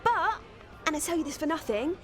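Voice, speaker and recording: An actress playing a feisty young English pub barmaid in a radio soap, combative, sarcastic and sharp-tongued. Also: female voice, sits high, expressive, brisk, faint music behind. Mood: indignant, defiant.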